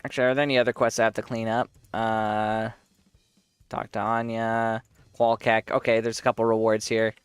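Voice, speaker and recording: A man's voice: on the low side, completely flat delivery, normal speed, smooth, no echo, soft background music. A man with a congested voice, giving rambling casual commentary while he plays a game and laughs often. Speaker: streamer.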